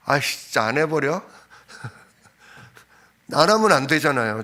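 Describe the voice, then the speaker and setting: A man preaching in a conversational style, telling a personal story informally, slightly hoarse from a cold. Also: on the high side, pushed and bright. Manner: anecdotal, informal storytelling with frequent fillers. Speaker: pastor. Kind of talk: conversational sermon. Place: church sanctuary.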